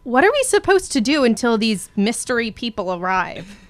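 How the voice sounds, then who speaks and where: Fussy captive townswoman, woman voicing, high and animated. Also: moderate pace, dry recording. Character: chatty captive female citizen, fussy about protocol. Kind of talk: student radio drama acting.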